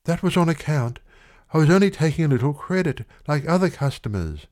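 A man's voice: on the high side, animated, brisk, darkish tone, voice pushed up, close to an everyday voice. A man reading aloud, voicing a frightened, tearful young shop girl who pleads in a breathless rush. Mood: haughty. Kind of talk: audiobook reading.

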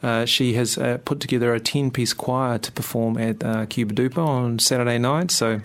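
A male radio host talks loosely in the studio. He fills his pauses with "um" and "uh" and keeps the chat friendly. His voice sits at an ordinary middle pitch. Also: steady tone. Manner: chatty, filler-heavy. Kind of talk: radio talk show.

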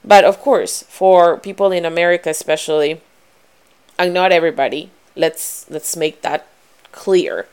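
A young woman from Ecuador speaks in a low, smooth voice with a strong Ecuadorian Spanish accent. She talks earnestly to her viewers, stopping on fillers and restarts and growing more animated as she goes. Her voice stays clear and resonant. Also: a little slow. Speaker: vlogger. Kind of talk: personal talk to camera.

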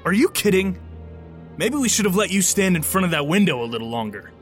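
An earnest, easily exasperated man, in an audio drama. His voice is fairly high and very lively in pitch, with faint music behind. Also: brisk.

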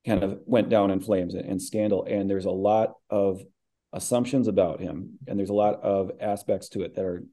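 Midwestern man, low and mellow, rambling interview guest with restarts. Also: steady tone, normal speed. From American Midwest. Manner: thoughtful, rambling with many restarts. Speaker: voice actor.

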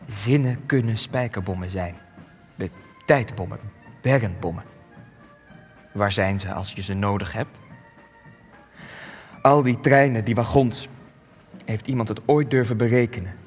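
A man acts out a frail old war veteran in a radio play. His voice sits fairly low and he muses haltingly, wistful and bitter, repeating himself. Faint music plays behind him. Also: long reverb tail, close to an everyday voice. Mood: brooding. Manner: hesitant, rambling, musing, with stammered repetitions. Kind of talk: radio drama acting.

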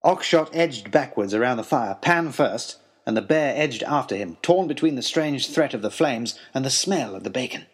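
Male narrator for a first-person adventure story: wry, formal, very animated, with a faintly husky edge. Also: medium pitch, normal speed, few pauses.

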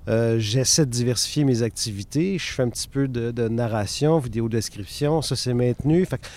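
A man's voice: medium pitch, brisk, a little mellow. A man in his fifties with a Québécois accent, chatting casually and candidly as a podcast guest, explaining his thoughts. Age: 50s. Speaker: podcast participant, freelance narrator. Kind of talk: casual conversation.

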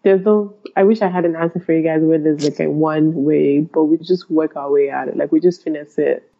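A woman's voice: sits low, fairly even intonation, a little fast, creaky. A woman guest on a podcast, chatting casually about her nonprofit work and filling her talk with "like" and "man". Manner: casual, lots of "like" and "man", laughs while talking. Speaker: podcast guest, consent educator. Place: lives in Toronto. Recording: dull lo-fi audio.

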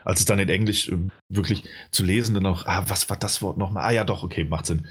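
Low, husky-voiced man, casual chat, rambling with fillers, game talk. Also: fairly even intonation, fast. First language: German. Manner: rambling, many fillers and restarts. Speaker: game reviewer.

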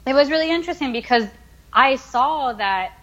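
A woman's voice: high-pitched, lively intonation, moderate pace, a bit bright, muffled recording. A young woman in her twenties from the United States, talking casually as an interview guest, with many fillers as she thinks aloud. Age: 20s. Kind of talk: casual conversation.

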